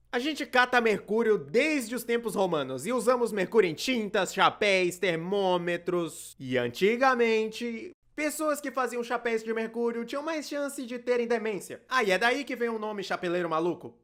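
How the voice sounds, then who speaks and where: A man with a Brazilian accent doing scripted comedic narration for an animated video in an informal, playfully exasperated manner. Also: high voice.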